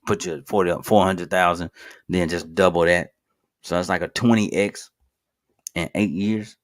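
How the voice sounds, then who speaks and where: Low-voiced man in his thirties, rambling. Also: fairly even intonation, moderate pace. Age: 30s. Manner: rambling, self-correcting, with frequent fillers and restarts; informal, addresses viewers as "guys". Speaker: finance video host. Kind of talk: casual screen-share commentary.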